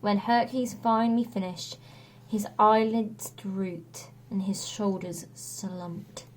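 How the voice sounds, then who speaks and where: A woman reading a children's story aloud as a teacher would to a class, with expressive narration. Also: mid-range voice, takes it slowly, slight background noise, poor audio.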